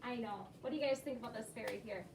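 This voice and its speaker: Woman teacher, fairly high voice, prompting and encouraging answers in a read-aloud lesson; roomy, distant recording. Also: fast. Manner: questioning, prompting, affirming children's answers. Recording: echoey room, distant mic, clear background noise.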